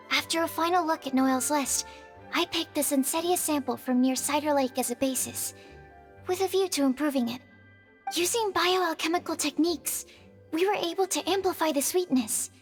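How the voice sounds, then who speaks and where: A woman's voice: high-pitched, natural voice. A woman playing a shy, earnest young female alchemist in a video game, speaking in a modest, precise, bookish way. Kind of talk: video game character dialogue. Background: faint music.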